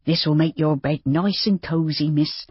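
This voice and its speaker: A warm-hearted, bustling farmer's wife who fusses over her guests, played by a storybook reader. She talks in a raised, brisk voice with a breathy edge. The sound is dry and a little dull. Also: medium pitch, fairly even intonation, no room sound, natural voice. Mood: motherly, brisk.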